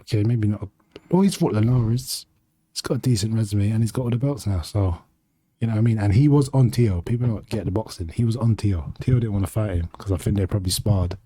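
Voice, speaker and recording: A man's voice: fairly low pitch, quick pace, dark tone, slightly rough, dry recording. A man hosting a podcast in casual chat, slangy and rambling, sniffly from hay fever. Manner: slangy, rambling, laughs easily. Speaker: podcast host.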